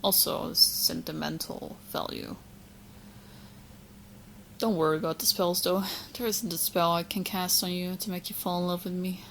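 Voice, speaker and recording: Woman acting a low-voiced, lovesick yandere witch. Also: creaky, fairly bright tone, slight background noise, exaggerated voice, rough recording. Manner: teasing, smug, coy, with halting asides. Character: sweet, romantically obsessed young witch girlfriend, playfully possessive. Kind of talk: scripted girlfriend roleplay ASMR.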